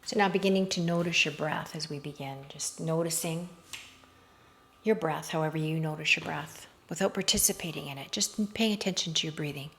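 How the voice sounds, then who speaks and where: A woman leading a slow guided meditation in a measured, hushed voice that often softens into breathiness, recorded outdoors. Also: sits low, a little hiss or hum. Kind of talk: slow, soothing guided meditation with hypnotic induction. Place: outdoors in a garden. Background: faint outdoor garden ambience.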